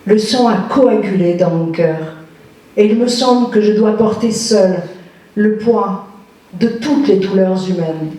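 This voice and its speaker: A woman presenting a poetry event, reading a poem aloud and then putting a question to the guest in a slow, measured way. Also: medium pitch, warm tone. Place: municipal lecture hall. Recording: echoey hall from far off.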